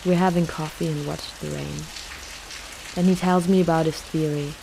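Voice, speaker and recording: A woman reading a reflective, poetic voice-over narration in a calm, measured way. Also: low-pitched, creaky, noisy background.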